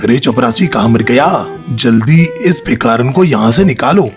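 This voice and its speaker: A man's voice: medium pitch, lively intonation, moderate pace, faint music behind, lightly acted. A man voicing a haughty, dismissive school principal in a children's cartoon. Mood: scornful. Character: haughty, scornful male school principal in a bird world. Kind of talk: Hindi children's cartoon voice acting.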